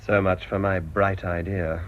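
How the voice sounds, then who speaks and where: An actor playing a bitter English village priest in a radio drama, with a dry, grumbling way of talking. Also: male voice, sits low, flat delivery, measured pace. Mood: discontented. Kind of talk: British radio drama acting. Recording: dull, noisy old radio drama recording.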